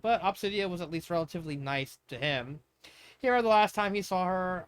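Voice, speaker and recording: Stumbling amateur storyteller reading fan fiction. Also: medium pitch. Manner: stumbling, self-correcting delivery. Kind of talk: amateur dramatic story narration.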